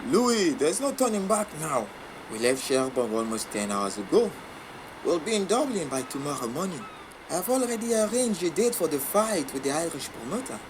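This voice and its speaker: A brash boxing manager in an audio drama. His voice is fairly high, commanding and patronising, with a sly coaxing streak. Noisy ambience sits behind him. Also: sing-song, normal speed. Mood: bossy, smug. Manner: commanding, patronising, drops French endearments.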